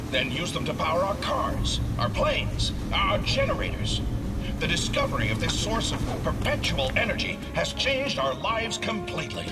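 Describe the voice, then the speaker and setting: An actor playing a proud, showy scientist in a video game, presenting grandly like a lecturer. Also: male voice, sits high. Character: proud, showy male doctor and inventor, middle-aged or older. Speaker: scientist presenting his creation. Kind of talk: theatrical video game voice acting, presentation speech. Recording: somewhat distant, loud music behind.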